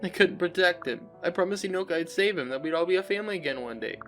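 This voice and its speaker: A man's voice: sits high, fast, a bit bright, soft background music. A man playing an anguished, intense young traitor in a dramatic reel. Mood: grieving. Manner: brooding, intense, emotional. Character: anguished young traitor grieving his brother. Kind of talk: dramatic character acting.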